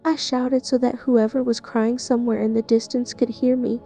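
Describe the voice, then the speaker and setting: A woman narrating a first-person horror story in a tense, even manner. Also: fairly high pitch, normal speed, gravelly, soft background music. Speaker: narrator playing the listener's character.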